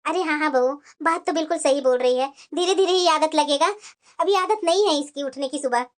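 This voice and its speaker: A woman voicing a gentle older mother-in-law in a homemade family skit, speaking slowly and softly in a lowered voice. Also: natural voice. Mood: agreeable.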